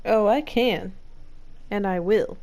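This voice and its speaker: A woman's voice: medium pitch, slow pace, a little mellow. A woman streamer giving casual, sarcastic gameplay commentary in a slangy, wry manner.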